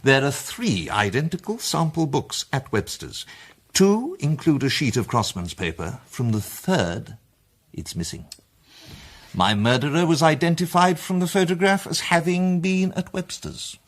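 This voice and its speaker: British amateur detective, radio drama: assured, theatrical, emphatic, lively inflection, slightly rough tone. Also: male voice, on the high side, measured pace. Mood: assured, methodical. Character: confident amateur male detective laying out his case.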